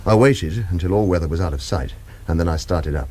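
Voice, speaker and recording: A man's voice: low-pitched, fairly quick, darkish tone, close to an everyday voice. An actor playing a wry hard-boiled hired gunman, narrating his own radio thriller in clipped phrases. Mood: wry, watchful. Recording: noisy car sound effects behind.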